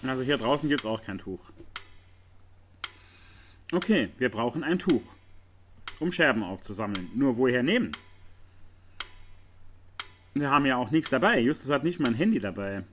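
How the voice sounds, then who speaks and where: A man's voice: medium pitch, big pitch swings, normal speed, pauses often, fairly bright tone. A man giving casual commentary on a game, thinking aloud and talking to the viewers. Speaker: gaming video host. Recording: noisy recording with clicking.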